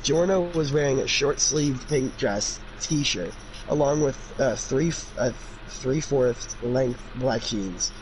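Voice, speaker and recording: Guy reading fanfic out loud, chatty and opinionated, noisy recording. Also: mid-range voice, slow. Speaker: fanfiction reader and commentator. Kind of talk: casual amateur read-aloud with chatty commentary.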